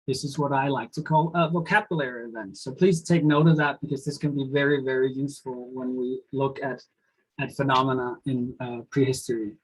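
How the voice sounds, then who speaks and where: Casting note: male researcher, Danish first language, mid-pitched voice, halting lecture delivery full of fillers. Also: steady tone, slightly rough, low-quality recording. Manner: hesitant, many fillers and restarts. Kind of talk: academic lecture with slides.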